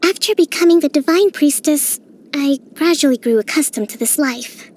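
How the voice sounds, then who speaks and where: An actress voicing a young female island priestess and military strategist in a game, speaking in a gentle, composed, softly playful way. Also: high-pitched, moderate pace, lightly acted. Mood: reflective. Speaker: game character. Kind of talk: game voice lines.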